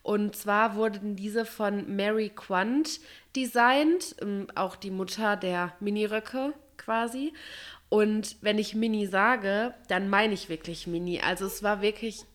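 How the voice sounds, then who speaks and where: A woman's voice: medium pitch, slow pace. A woman chatting casually and emphatically as a podcast co-host, a little stuffy from a cold.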